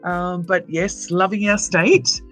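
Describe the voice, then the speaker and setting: A woman's voice: lowish voice, steady tone, slow, a bit bright, faint music behind, rough recording. A woman from Tonga who grew up in Australia, chatting as a guest on a livestream and sounding a little shy and giggly. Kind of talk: casual livestream chat.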